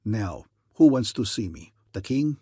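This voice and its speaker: A man doing a gruff, pompous fake count, thick accent. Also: lowish voice, big pitch swings, a little slow, warm tone, dry recording, clean studio sound, exaggerated voice. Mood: gruff, commanding. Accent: thick invented foreign aristocratic accent. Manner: gruff, pompous, scowling delivery. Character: brash, boastful con man posing as provincial count.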